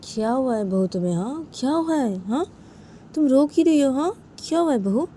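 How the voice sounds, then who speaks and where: Woman acting persistent, high-pitched concerned auntie. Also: expressive, fairly quick, dark tone, slight background noise. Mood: insistent. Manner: persistent, repetitive questioning and coaxing. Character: concerned, nagging aunt-in-law, an older female relative.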